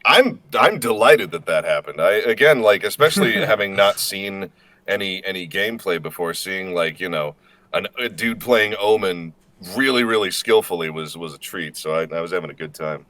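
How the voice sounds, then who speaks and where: A man's voice: low voice, expressive, normal speed, a bit bright, no room sound. An American man from Indiana, a voice actor chatting casually as a guest in a self-deprecating, slightly hesitant way. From Indiana, United States. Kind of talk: casual chat.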